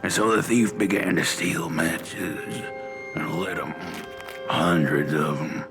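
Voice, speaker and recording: An actor voicing a crippled, half-dead old coal miner in a video game, a wry guide with a gravelly, folksy, rambling drawl. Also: male voice, deep voice, steady tone, takes it slowly, over music. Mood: brooding storytelling. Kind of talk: character acting in a video game.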